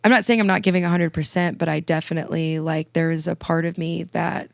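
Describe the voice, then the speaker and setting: A young woman in her twenties with a raspy, slightly sick sinus voice, chatting eagerly on a podcast with lots of fillers. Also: on the low side, fairly even intonation, fast talker, no room sound, clean studio sound. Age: 20s. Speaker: guest singer-songwriter. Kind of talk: casual podcast chat.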